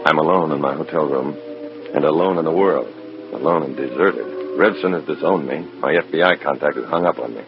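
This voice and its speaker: An actor playing a tense undercover operative in an old radio drama, terse and hard-boiled, also narrating in the first person. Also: male voice, extremely low pitch, fairly quick, over loud music. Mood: bleak, brooding. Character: tense, cornered undercover operative posing as another man. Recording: dull, noisy vintage radio recording.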